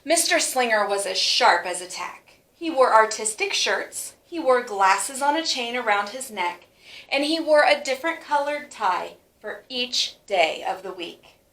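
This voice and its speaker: A woman reading a children's storybook aloud with expressive, emphatic phrasing for young listeners. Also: fairly high pitch, a little slow, echoey room. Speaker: teacher reading a picture book aloud.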